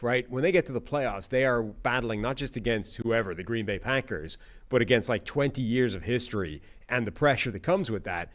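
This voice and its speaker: Animated man breaking down football. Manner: animated, emphatic, pitch jumping on key points; frequent fillers like "like" and "right?". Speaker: co-host. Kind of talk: casual sports analysis chat.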